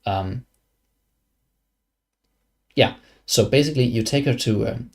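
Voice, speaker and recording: A man's voice: mid-range voice, takes it slowly, a little mellow. A young man from Denmark with a Danish accent, chatting casually on a game live stream and rambling with many fillers and restarts. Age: young adult.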